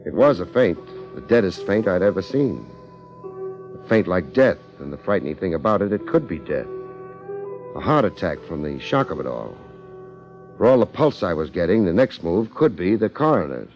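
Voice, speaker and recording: An actor playing a wry, hard-boiled American detective with deadpan wisecracks, in 1950s radio drama style. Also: male voice, sits low, moderate pace, music in the background. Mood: grave narration. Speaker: detective and narrator. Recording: dull, band-limited 1950s radio recording.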